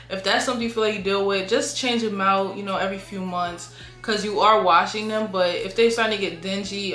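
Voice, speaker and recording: A woman's voice: medium pitch, a bit bright, reverberant. A young woman giving frank, chatty advice straight to her viewers, full of "like" and "y'all". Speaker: beauty and lifestyle vlogger. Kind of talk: casual advice monologue to camera.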